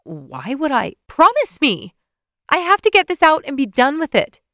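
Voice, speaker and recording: A woman's voice: high voice, lively intonation, normal speed, fairly bright tone, no echo, clean studio sound, pitched above the performer's own voice. A woman voicing a heartbroken, flustered young woman who blurts out her feelings in tearful outbursts. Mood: upset.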